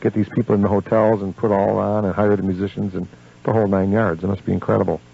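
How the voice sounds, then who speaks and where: A man's voice: fairly low pitch, flat delivery, quick pace, vocal fry, husky. A man hosting a live local radio show, chatting with effusive exclamations of praise. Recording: dull lo-fi AM radio recording.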